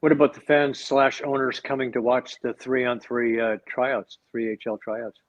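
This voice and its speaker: A man's voice: medium pitch, flat delivery, moderate pace, no room sound. A man hosting a casual interview, asking long, enthusiastic questions with many restarts. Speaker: host. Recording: dry, slightly dull recording.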